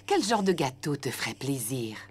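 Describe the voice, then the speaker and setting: A woman voicing a caring, busy mother elephant in a French children's cartoon, expressive, motherly and reassuring. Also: very deep voice, moderate pace. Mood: curious. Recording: music under the voice.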